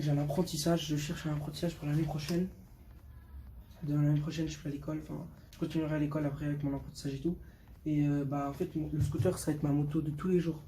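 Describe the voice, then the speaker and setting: Mellow teenage boy, casual and slangy. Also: fairly high pitch. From Portugal. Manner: slangy, repeats words for emphasis. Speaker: vlogger showing motorbike. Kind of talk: casual unscripted vlog talk. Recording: clear background noise.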